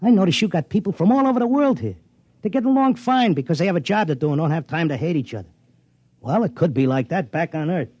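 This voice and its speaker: An actor playing a brash, slangy racetrack tipster who also narrates, in a 1950s radio drama. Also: male voice, fairly high pitch, animated, quick pace, darkish tone, no room sound, lo-fi sound. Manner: streetwise and slangy. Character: brash fast-talking racetrack tipster, middle-aged man. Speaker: narrator and lead.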